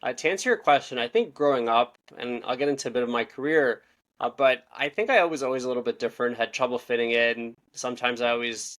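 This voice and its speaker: A young man in his twenties, originally from Israel, talking as a podcast guest. He speaks quickly in a loose, rambling way, often circling back to correct himself. His pitch sits in a middle range. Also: fairly bright tone. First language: Russian. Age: 20s. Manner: fast, rambling, self-correcting. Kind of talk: casual interview talk.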